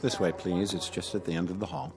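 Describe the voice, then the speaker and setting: A brisk, courteous female hospital attendant in a radio drama. Her voice is extremely low for a woman, with lively intonation and faint music behind. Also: gravelly, heavily acted. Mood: businesslike.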